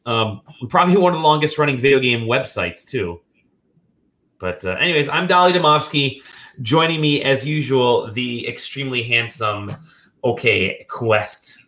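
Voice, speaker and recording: A man hosting a casual video game podcast from home, sick and a bit nasal, joking and clearing his throat often. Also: mid-range voice, animated, moderate pace, fairly bright tone. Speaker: podcast host. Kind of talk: casual chat. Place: home room.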